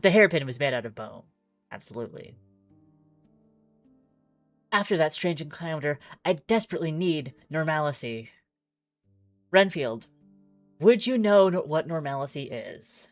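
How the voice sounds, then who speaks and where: Low-voiced woman streaming a game. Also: big pitch swings, unhurried, pauses often, no room sound, soft background music. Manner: chatty, thinking aloud with musing asides. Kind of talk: game playthrough commentary, reading aloud.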